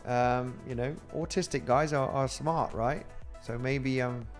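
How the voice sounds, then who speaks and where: A man's voice: mid-range voice, steady tone, a little slow, soft background music. A man with a Spanish accent, a crypto trading host chatting casually on a livestream and reading out viewer comments in a rambling way. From Spain. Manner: rambling and chatty, with frequent fillers and laughs.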